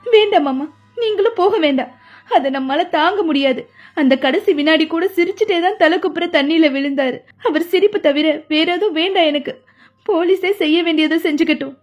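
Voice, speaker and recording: A woman's voice: very high voice, moderate pace, pitched above the performer's own voice. A woman voicing a grieving young widow who talks in a tearful, pleading way.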